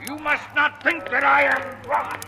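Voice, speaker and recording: An actor playing a well-bred lieutenant, earnest and theatrical, in old-time radio Shakespeare style. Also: male voice, very high voice, flat delivery, measured pace, gravelly, pushed and bright, exaggerated voice. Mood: drunken insistence. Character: well-bred young lieutenant, courteous sober, belligerent drunk. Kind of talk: 1940s radio acting of Shakespeare. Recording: noisy vintage radio recording.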